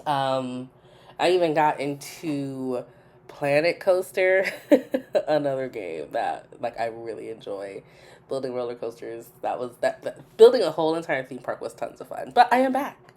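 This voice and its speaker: Low-pitched, stuffy-nosed woman chatting casually. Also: very animated, unhurried, darkish tone. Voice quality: stuffy, nasal. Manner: informal, repeats phrases for emphasis. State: congested from a cold. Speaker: gaming video host. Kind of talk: casual chatty voice-over commentary.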